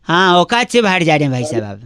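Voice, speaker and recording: A man making radio prank phone calls, teasing and provoking the person on the line with mock-innocent calm. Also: a little high, quick pace, very noisy.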